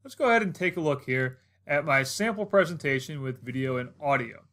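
Higher-pitched American man giving a tutorial. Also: lively intonation, fairly quick, sharp tone. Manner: step-by-step, explanatory. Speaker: tutorial host. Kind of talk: casual instructional screencast narration.

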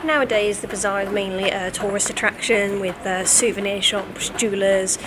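A woman's voice: medium pitch, steady tone, moderate pace, background noise. A British woman in her twenties talking casually as a travel vlogger. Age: 20s. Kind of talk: casual travel vlog narration. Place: van cab, wooden cafe, cobbled bazaar street. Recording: music over voice. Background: music.

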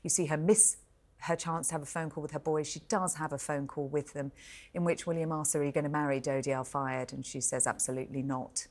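A British woman in her forties with a notably low voice. She speaks as a studio pundit, measured and articulate, leaning on key words as she reviews. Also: fairly even intonation. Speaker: royal editor, studio pundit. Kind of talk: live broadcast review and commentary. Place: television studio.

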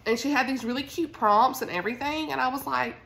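A woman's voice: sits high, a little fast, gravelly, fairly bright tone. A woman with a Southern US accent and a congested voice, chatting informally and expressively as a book vlogger. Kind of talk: casual chatty vlog. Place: at home.

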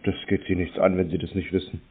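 A man playing naive and terse in a prank phone conversation, with a deadpan manner. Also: low-pitched, quick pace. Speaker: scambaiter taking scam calls. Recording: phone-like band, clearly noisy.

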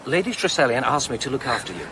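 An actor playing an earnest, persistent amateur detective in a radio drama, questioning insistently. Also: male voice, medium pitch, sing-song, fast, noisy background. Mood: gently probing.

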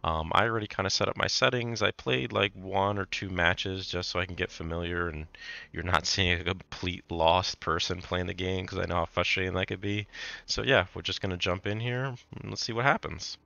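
A man hosting casual gameplay commentary, raspy and hoarse from a cold, laid-back with startled outbursts. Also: low voice, fairly even intonation, fairly quick, sharp tone, dry recording, muffled recording. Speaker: gaming channel host.

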